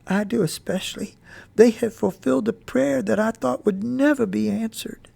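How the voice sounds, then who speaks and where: A man reading a story aloud, voicing a gentle, patient mother who speaks softly and slowly to comfort her son. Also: sits high, vocal fry, no room sound, voice pushed up, heavily acted. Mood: soothing. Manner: soft, slow and soothing.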